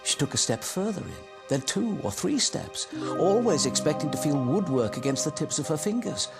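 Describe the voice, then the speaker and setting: Animated man reading a storybook over music. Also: a little high, normal speed. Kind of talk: expressive storybook narration.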